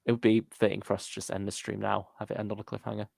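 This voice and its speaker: A man's voice: lowish voice, monotone, rapid-fire, creaky, darkish tone, dry recording, clean studio sound. A man hosting a casual fan discussion livestream, thinking aloud in a tentative way as he talks. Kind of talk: casual chat.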